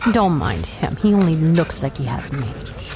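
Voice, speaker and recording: A woman's voice: low voice, big pitch swings, unhurried. A woman playing a tough-talking, wry 1930s mercenary adventuress in an audio drama. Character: hard-bitten, sardonic 1930s adventuress mercenary for hire. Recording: music behind the voice.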